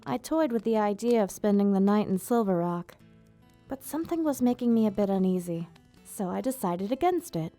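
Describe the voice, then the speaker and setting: Woman acting an elegant, dark-voiced vampire ruler. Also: medium pitch, expressive, faint music behind, lightly acted. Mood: grave, disdainful. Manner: formal, measured, theatrical diction. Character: elegant female vampire ruler, proud yet tender. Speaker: ASMR roleplay performer. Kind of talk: ASMR roleplay, scripted monologue to listener.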